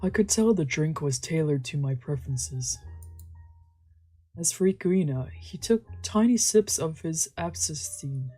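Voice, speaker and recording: A woman's voice: low-pitched, animated, measured pace, slightly rough. A woman streamer reading a visual novel aloud as narrator during a let's play. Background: soft game music.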